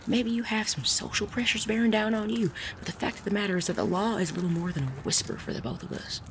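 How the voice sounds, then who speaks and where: A woman playing an articulate, sardonic gentleman bandit in a western audio drama. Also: mid-range voice, fast talker, slight background noise, natural voice. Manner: articulate, persuasive. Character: suave, sardonic gentleman bandit of the Wild West.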